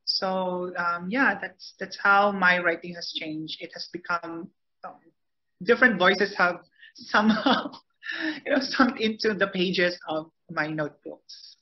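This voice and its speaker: A woman poet speaking earnestly on a video call panel, halting now and then, with soft laughs. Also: on the low side. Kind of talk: casual panel talk. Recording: muffled video call audio.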